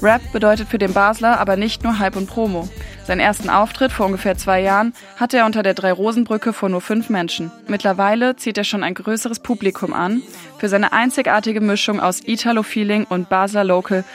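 A woman radio reporter, reading a scripted news feature in a clear, steady way. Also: medium pitch.

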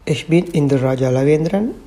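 A man from Sri Lanka, not a native German speaker, reading a personal statement slowly and with care. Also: a little high, expressive, warm tone. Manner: slow, careful non-native delivery. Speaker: community member.

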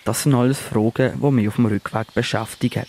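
A man tells a radio reportage in a calm, reflective way, his voice at an average pitch for a man. Birdsong carries clearly behind him outdoors. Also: brisk, slight background noise. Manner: reflective, descriptive. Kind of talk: first-person reportage narration. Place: outdoors on a farm.

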